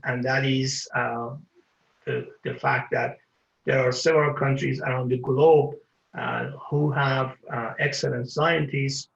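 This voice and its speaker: Older man, from Iran, gravelly, halting speech, echoey dull lo-fi audio. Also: mid-range voice, a bit bright. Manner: halting, many filler pauses. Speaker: award recipient. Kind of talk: acceptance speech.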